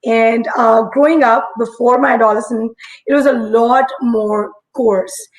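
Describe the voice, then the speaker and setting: Husky-voiced woman telling her story. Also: on the high side, steady tone, measured pace, low-quality recording. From India. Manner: earnest, reflective storytelling. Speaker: guest. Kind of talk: live-streamed conversation.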